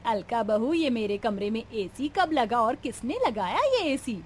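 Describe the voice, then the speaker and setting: An elderly mother-in-law from a family cartoon, acted by a woman, pitched high with big swings in tone. She is melodramatic and grumbling, quick to fawn over her favourite. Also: very animated, husky, heavily acted. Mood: fawning, pleased. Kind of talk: cartoon family drama dialogue.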